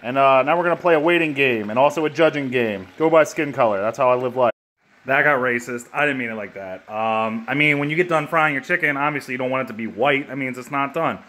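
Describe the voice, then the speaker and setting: A young man in his twenties filming a comedic cooking video in his home kitchen on a phone held at arm's length, cracking crude deadpan jokes and swearing freely. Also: mid-range voice, quick pace. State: hungover.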